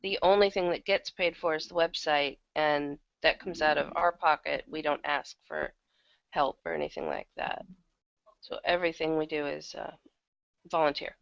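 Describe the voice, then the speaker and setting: A woman's voice: low voice, animated, normal speed, creaky, dry recording. A woman chatting casually as an interview guest, candid and self-deprecating, over a computer microphone. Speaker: guest, audio drama producer and casting director. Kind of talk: casual interview chat about their work. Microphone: computer microphone on an online call. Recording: dull lo-fi internet call, uneven volume.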